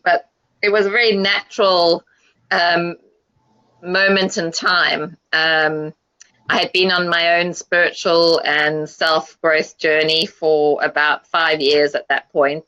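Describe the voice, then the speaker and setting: A woman with a bright, forward voice answers in a relaxed panel chat, pausing often and filling gaps with ums. Her audio comes through dull and lo-fi, like a video call. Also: low voice. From South Africa. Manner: hesitant, many ums. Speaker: guest. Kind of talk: casual online panel chat.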